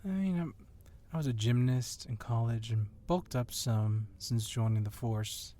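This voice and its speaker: A man's voice: medium pitch, a little slow, dark tone, no echo, close to an everyday voice. A man playing an agile, confident police officer with a casual, flirtatiously admiring manner in an ASMR roleplay. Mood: teasing. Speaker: voice actor in audio roleplay.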